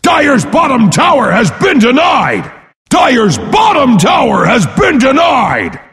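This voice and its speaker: An actor playing a brash, boastful, battle-hungry warrior brute as a pompous, bellowing video game announcer. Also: male voice, wildly swooping pitch, slow pace, fairly bright tone, shouting, exaggerated voice. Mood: alarmed bellowing. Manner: bellowing, pompous, speaks of himself in third person. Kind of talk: bombastic game announcer lines. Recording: long booming reverb.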